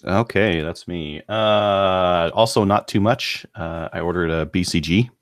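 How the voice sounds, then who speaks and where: A man's voice: low voice, a little slow, dry recording. A man co-hosting a podcast chat, explaining things plainly with dry humor. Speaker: podcast co-host. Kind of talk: casual chat.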